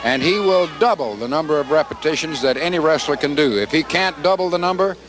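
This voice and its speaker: A man's voice: lively intonation, a bit bright. A man working as ring announcer, formally presenting wrestlers. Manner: booming, drawn-out announcing. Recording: crowd and noise behind.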